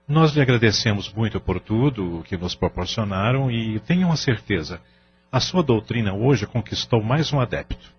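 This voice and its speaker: A man's voice: lowish voice, animated, moderate pace, natural voice. Man acting earnest family father, muffled sound. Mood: grateful, earnest. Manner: formal, earnest, soothing. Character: grateful, earnest family father, humble and reassuring. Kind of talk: audio drama acting. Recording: dull audio with faint hum.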